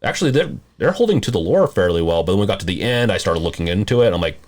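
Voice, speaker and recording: A man hosting a casual movie discussion podcast, talking in a halting, rambling way and often rephrasing mid-sentence. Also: sits low, very animated. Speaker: podcast host.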